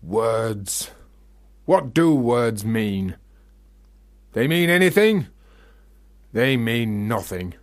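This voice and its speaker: Slow, brooding, bitter gravedigger. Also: male voice, medium pitch, very animated. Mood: scornful. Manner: brooding, rhetorical, incantatory. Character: bitter, obsessive philosophical gravedigger, a working man. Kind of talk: radio play monologue acting.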